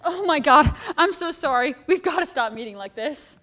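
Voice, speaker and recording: A woman's voice: sits high, expressive, moderate pace, fairly bright tone. A teenage actress playing a bubbly, friendly popular girl who talks in an upbeat, gushing way. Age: teens.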